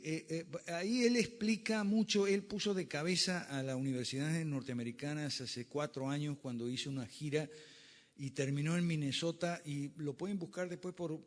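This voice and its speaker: A man giving a classroom lecture with slides, emphatic and fond of rhetorical questions. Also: on the high side. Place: lecture room. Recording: reverberant lecture hall.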